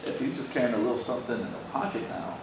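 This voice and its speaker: A man's voice: medium pitch, rapid-fire, vocal fry. A man in an audience asking probing questions in a casual, colloquial way. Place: large echoey indoor arts venue. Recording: very echoey room, distant mic, noisy.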